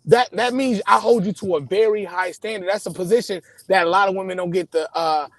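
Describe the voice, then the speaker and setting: A man hosting a casual live-stream interview, asking probing questions in a measured way while calling in from his car. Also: high voice, dry recording. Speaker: host.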